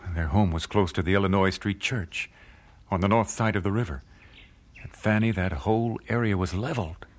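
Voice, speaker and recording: Solemn low-voiced old-time gospel singer. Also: male voice, fairly quick. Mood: anguished, guilty. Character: earnest 19th-century American gospel singer recounting and reliving events. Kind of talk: radio drama narration and dialogue.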